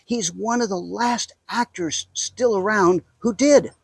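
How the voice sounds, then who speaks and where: An American man hosts with a light, playful scripted introduction. His voice is slightly high and the sound is dry, with no room around it. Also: takes it slowly, gravelly, husky, dry recording. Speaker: host introducing the show.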